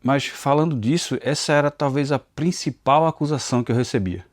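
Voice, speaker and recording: A man's voice: medium pitch, fairly quick, no room sound, studio quality. Earnest man with a Recife accent. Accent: Recife, Brazil, mixed with inland Pernambuco. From Northeast Brazil. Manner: earnest and confessional. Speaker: Bible teacher and channel host. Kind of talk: personal devotional talk to camera. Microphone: phone camera.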